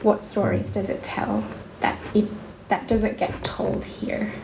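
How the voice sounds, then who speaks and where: Woman, from Indonesia, interview guest, gravelly, many ums and restarts, echoey noisy recording. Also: medium pitch, big pitch swings, slow. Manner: thoughtful, many fillers and restarts. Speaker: researcher guest. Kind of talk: casual interview talk. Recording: echoey room with noise.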